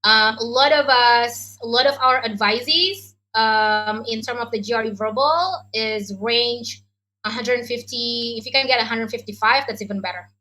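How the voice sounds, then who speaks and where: A woman's voice: fairly high pitch, normal speed, sharp tone, rough recording. An Indonesian woman, speaking English as a second language, answers questions as an education adviser in a chatty way full of ums and likes. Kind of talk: online Q&A session.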